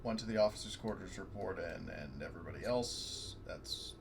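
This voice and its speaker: A man's voice: deep voice, normal speed, creaky, slight background noise. A man playing a tabletop game, rambling with lots of fillers and restarts. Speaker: player. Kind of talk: casual tabletop game chat.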